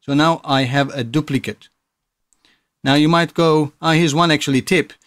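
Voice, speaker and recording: A man's voice: a little high, steady tone, moderate pace, a bit bright, no echo. A man presenting a music production tutorial in a casual, explanatory, informal way. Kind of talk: casual software tutorial narration.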